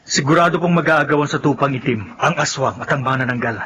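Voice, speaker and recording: An actor playing an earnest, respectful son who explains things deliberately in a horror radio drama. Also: male voice, mid-range voice, fairly bright tone, slightly rough, muffled recording. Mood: grave, earnest.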